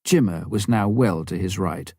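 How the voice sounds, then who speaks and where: A man reading a scripted story as an audiobook narrator, measured and dramatic, with deliberate pauses. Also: low-pitched, dark tone, husky, dry recording, clean studio sound. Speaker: audiobook reader.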